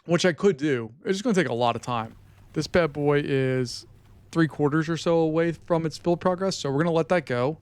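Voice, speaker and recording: A man with a hoarse, fading voice giving casual commentary on his own strategy game, chatting to his units as he plays. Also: a little high, brisk, no room sound. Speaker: gaming channel host.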